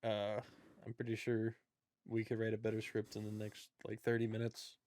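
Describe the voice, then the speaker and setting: A man's voice: fairly low pitch, monotone, normal speed, darkish tone. A man co-hosting a podcast, chatting casually about movies in a blunt, informal way. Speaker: podcast host.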